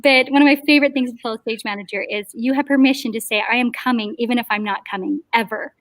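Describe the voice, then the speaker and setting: A woman with a high, light voice leading a theater crew, talking in a strict but affectionate way. The sound is close and dry, with no room around it. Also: fast, fairly bright tone, dry recording. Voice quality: high-pitched. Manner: strict yet affectionate, laughs often. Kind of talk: casual panel chat on a video call.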